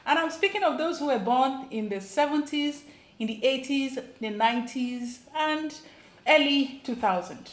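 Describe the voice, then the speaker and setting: A woman's voice: on the high side, echoey room. A woman with a Nigerian accent hosting an interview show, introducing her guest and teasing him with follow-up questions. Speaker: talk show host.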